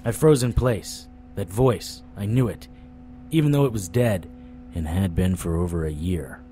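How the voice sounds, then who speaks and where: Low-voiced male gumshoe, wisecracking monster hunter, noir radio-play delivery, music underneath. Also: measured pace, warm tone. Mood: tense. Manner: sardonic, dramatic. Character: hard-boiled, wisecracking male vampire-hunting private eye. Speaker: narrator and lead actor. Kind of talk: first-person noir audio drama narration. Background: dramatic music.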